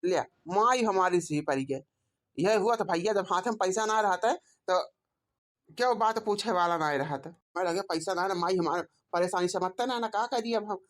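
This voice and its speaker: A woman's voice: on the low side, moderate pace, lightly acted. A woman with an Awadhi accent voicing a pleading, put-upon grown village son and husband in a cartoon comedy. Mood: aggrieved. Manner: complaining, self-justifying.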